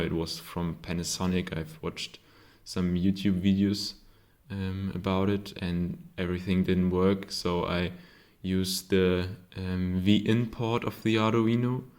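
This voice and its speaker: A man from Germany with a German accent, a non-native speaker, casually showing his own circuit board, hesitant with many ums and self-corrections. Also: low voice. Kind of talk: casual show-and-tell.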